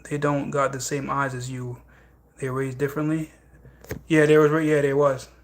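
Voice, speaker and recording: A young man, a live streamer chatting casually and loudly, repeating himself as he explains things. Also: mid-range voice, flat delivery, normal speed. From Jamaica. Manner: loud, rambling, repeats himself. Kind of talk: casual chat.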